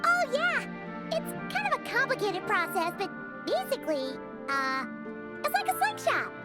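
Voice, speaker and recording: A woman's voice: very high voice, big pitch swings, a little slow, loud music behind the voice, heavily acted. A woman voicing a small, chatty, cheerful fairy-like girl companion in a video game, with exclamatory delivery. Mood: explaining. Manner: chirpy, exclamatory. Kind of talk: animated game dialogue.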